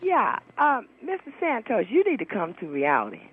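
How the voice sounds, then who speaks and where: Casting: female caller, sassy and combative, very lively intonation, tinny telephone sound. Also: on the high side, normal speed, husky. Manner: scolding, sassy. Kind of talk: call-in argument. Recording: tinny phone line.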